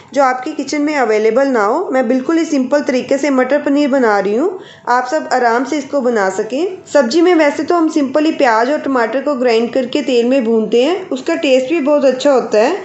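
A woman hosting a cooking channel, calmly explaining each step of a recipe to her viewers. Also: sits high, a little fast, roomy sound, highs cut off. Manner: calm, explanatory, addresses viewers directly. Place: home kitchen.

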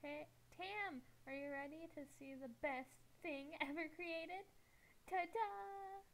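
Woman voicing a perky, high-pitched teasing cartoon girl. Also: slow, vocal fry, full character voice. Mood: gleeful teasing. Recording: noisy amateur home recording.

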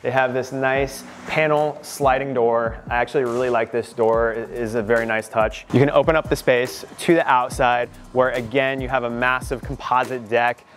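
A man's voice: mid-range voice, steady tone, moderate pace, hardly any pauses, fairly bright tone, faint music behind. Upbeat man touring a house. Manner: upbeat, informative salesman patter. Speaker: real estate agent giving a home tour. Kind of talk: walkthrough home tour narration. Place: inside a renovated house.

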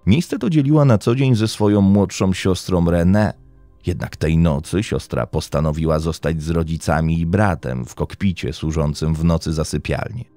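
A man narrating a scripted true-crime story in a measured, dramatic way with deliberate pauses. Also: deep voice, mellow, no echo. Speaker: podcast host and narrator. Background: background music.

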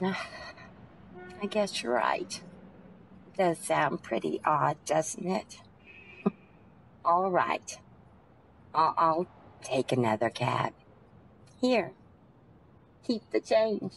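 Radio drama passenger, played by a woman: gentle, eccentric, unafraid, unhurried, expressive. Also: lowish voice, gravelly, a little hiss or hum, exaggerated voice, poor audio. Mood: gently resigned. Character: serene, eccentric, polite woman taxi passenger. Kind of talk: old-time radio drama acting.

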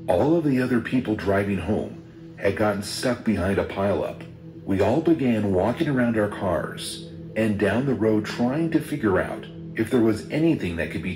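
A man reading a first-person horror story in a steady, measured storytelling voice. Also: fairly low pitch. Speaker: narrator. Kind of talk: scripted horror story narration. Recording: roomy sound with music underneath.

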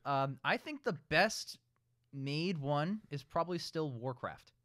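A man co-hosting a relaxed, conversational discussion of films. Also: fairly high pitch, slow pace. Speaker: podcast co-host. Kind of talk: casual film discussion.

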